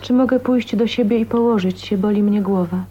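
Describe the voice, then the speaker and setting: An actress playing a shy, downtrodden spinster daughter of twenty-nine with quiet rebellion in her, in a hushed, introspective radio drama manner. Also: mid-range voice, fairly quick, husky, natural voice. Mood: subdued, withdrawn. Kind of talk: Polish radio drama acting. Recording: muffled, noisy late-1980s radio drama recording.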